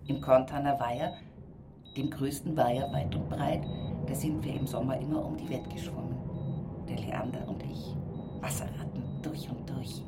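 A woman's voice: a bass voice, very noisy. An actress playing a wry country woman with a Bavarian accent, talking intimately to her mother in a radio play monologue. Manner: folksy, addresses her mother directly. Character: rural Upper Palatinate woman reminiscing at mother's bedside, wry.

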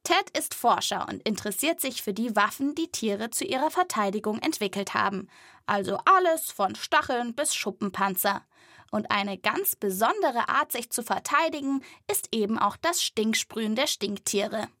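A woman with a fairly high voice presents a podcast for children. She narrates from a script in a lively, chatty way. She addresses her young listeners directly. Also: normal speed, dry recording, clean studio sound.

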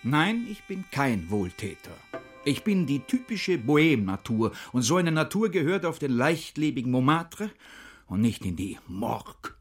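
Smug glib bohemian dentist type in a radio play, preachy fancy talk, music behind. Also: male voice, medium pitch, big pitch swings, normal speed, husky. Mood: self-dramatizing. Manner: pompous, affectedly educated, lecturing. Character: glib, self-important bohemian dental technician, self-declared selfless schemer.

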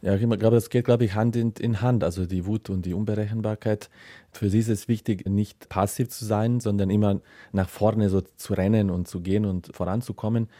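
A male writer answering interview questions. His voice is low and extremely mellow, recorded close and dry, and he searches hesitantly for his phrasing. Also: fairly even intonation, a little fast, dry recording. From former Yugoslavia.

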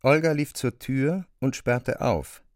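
A man's voice: mid-range voice, slow pace, dry recording, clean studio sound. A man reading scripted literary narration, as narrator of a drama.

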